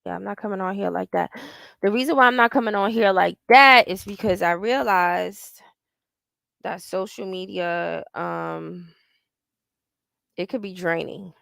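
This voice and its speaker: A woman's voice: medium pitch, unhurried, vocal fry, a bit bright, dry recording. Profane, rambling woman in her forties. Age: 40s. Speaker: livestream host. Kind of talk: casual livestream chat, reading viewer comments.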